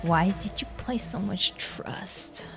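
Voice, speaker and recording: A woman's voice: mid-range voice, slow pace, whispered. A woman gives casual live commentary on a game. She takes her time, hesitating and thinking aloud in a giggly way. Game music plays faintly behind her. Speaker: game streamer. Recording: game music under stream voice.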